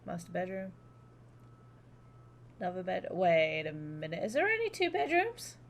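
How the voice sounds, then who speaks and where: A woman chats casually on a game livestream. Her voice sits a little low, and she rambles informally with some swearing. A faint rumble of noise runs underneath. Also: huge pitch swings, moderate pace. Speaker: live streamer.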